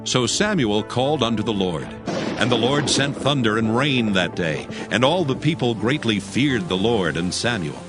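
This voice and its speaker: A man's voice: mid-range voice, expressive, moderate pace, over loud music. A man narrating scripture as a scripted dramatized audio Bible reading.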